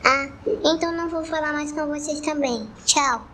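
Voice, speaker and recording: A woman's voice: sits high, moderate pace, pushed and bright, slight background noise. A woman playing an innocent, blunt little girl in a radio drama, with a northeastern Brazilian accent and simple childlike manner. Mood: matter-of-fact, blunt.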